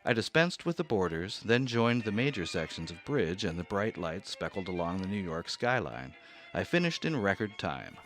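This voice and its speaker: A man voicing a fussy, precise accountant who narrates in a measured, dry way. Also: deep voice, big pitch swings. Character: fussy, precise middle-aged male accountant, rigid and easily rattled. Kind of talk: radio drama narration. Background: soft music.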